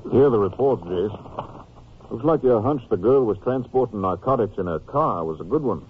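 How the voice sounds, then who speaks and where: An actor playing a grave, businesslike American Ranger captain in a 1950s radio drama, with a clipped, sober delivery. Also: male voice, on the low side, animated, normal speed, noisy background, natural voice. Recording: tinny narrow 1950s radio recording.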